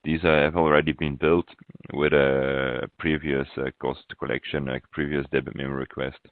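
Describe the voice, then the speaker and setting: Phone-like audio, male software trainer, extremely low voice, halting step-by-step webinar walkthrough. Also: flat delivery, no room sound. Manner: halting, pausing mid-phrase. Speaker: software consultant presenting a webinar demo.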